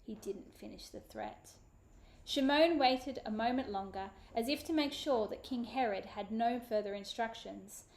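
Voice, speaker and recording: Expressive woman reading a storybook. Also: fairly high pitch, measured pace. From Australia. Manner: expressive, animated narration. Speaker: children's author.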